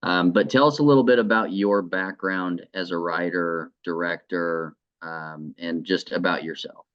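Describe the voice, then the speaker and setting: Very deep-voiced man interviewing, slightly muffled. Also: fairly even intonation, unhurried, clean tone. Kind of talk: conversational interview.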